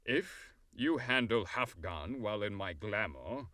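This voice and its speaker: Slow, low-voiced imperious fairy king played by a man. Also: lively intonation, bright, forceful tone, dry recording, clean studio sound. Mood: commanding. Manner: formal, deliberate, imperious. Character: weary, cunning male fairy king dictating a bargain. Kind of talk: audio drama acting.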